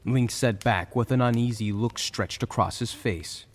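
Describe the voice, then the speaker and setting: A man's voice: medium pitch, unhurried. A man narrating a fantasy story in a dramatic, scripted storytelling style. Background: soft music.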